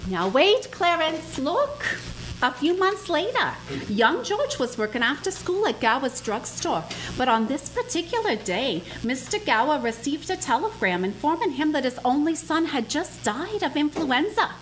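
A senior angel narrating the tale, as a woman plays the boss. Her pitch sits high and swings widely as she tells the story. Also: very animated, moderate pace, a bit bright, low-quality recording. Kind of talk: radio play narration.

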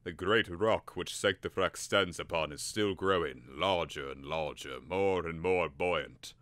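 A man's voice: low-pitched, a little slow, a bit bright, no echo. A man voicing a worried old professor in a storybook. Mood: concerned. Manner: fretful, sighing.

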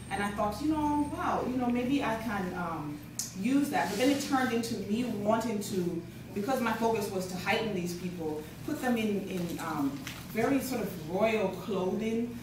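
A woman, giving a hesitant, soft-spoken artist's talk at a lectern in a gallery, with many ums and uhs. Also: mid-range voice, background noise. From Jamaica. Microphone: podium mic recorded by a far camera. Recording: echoey gallery from far off.

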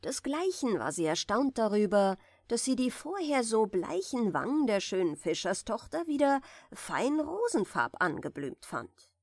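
A woman reading a fairy tale softly and soothingly as a bedtime audiobook narrator, in a measured way. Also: a little high, big pitch swings, no echo, studio quality. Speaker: audiobook reader of fairy tales.

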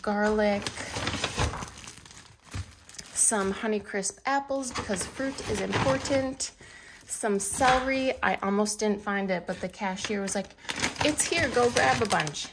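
Chatty woman with a gravelly edge. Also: mid-range voice, measured pace, heavy background noise. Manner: chatty, unpacking groceries as she talks. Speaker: cooking vlogger. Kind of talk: casual grocery haul chat. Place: home kitchen, indoors. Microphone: handheld camera pointed at the counter, speaker off screen.

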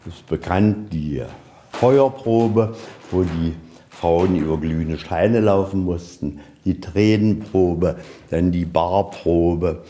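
Low-pitched, mellow-voiced man guiding a tour. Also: slow, slight background noise. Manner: plain-spoken, colloquial, step-by-step explaining. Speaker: museum guide. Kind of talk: explaining exhibits on a guided tour. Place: inside a museum, on location.

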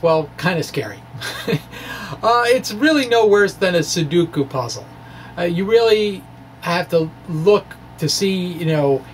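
A man in his forties presenting a physics lecture in a playful, wry way, moving between scripted reading and informal explaining. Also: fairly high pitch. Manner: playful, wry dramatic delivery. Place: small indoor room with bookshelves. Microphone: microphone on a stand close in front. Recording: faint steady background noise.

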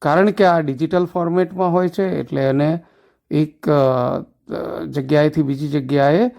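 A man's voice: on the high side, fairly even intonation, unhurried, vocal fry, darkish tone. A man giving an informative interview as an educator, explaining step by step and answering his own questions. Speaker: special educator, secretary of an association for the blind.

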